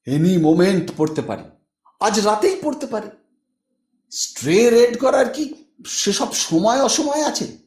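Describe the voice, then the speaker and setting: Man playing an excitable, animated college youth. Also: sits high, a little slow, long pauses. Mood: alarmist. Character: excitable college youth of twenty-two, alarmist. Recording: echoey room.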